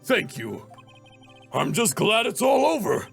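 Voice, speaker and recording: Man playing a plaintive, gravelly gentle hero. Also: high voice, big pitch swings, slow pace, faint music behind, voice pushed up, exaggerated voice. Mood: grateful, naive. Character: sad, naive, soft-hearted male TV hero actor.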